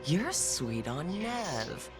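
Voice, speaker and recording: Game heroine: low voice, wry, friendly, teasing, music behind. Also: female voice, sing-song, very slow, heavily acted. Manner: wry, encouraging. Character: friendly, teasing young female adventurer and team leader. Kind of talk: natural game acting.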